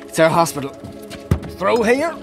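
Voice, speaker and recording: An earnest medieval friar in an audio drama. His voice is fairly high with a marked creak, and he speaks politely and haltingly, a gentle servant of the poor, with music playing behind him. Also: sing-song, heavily acted. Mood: hurried, busy.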